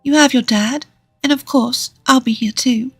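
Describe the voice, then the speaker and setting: Woman playing a kind young wife, high pitch, gentle, cheerful, comforting, storytelling dialogue, faint music behind. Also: moderate pace, vocal fry, no room sound. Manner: soft, comforting, lightly teasing. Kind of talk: acted dialogue in a narrated story.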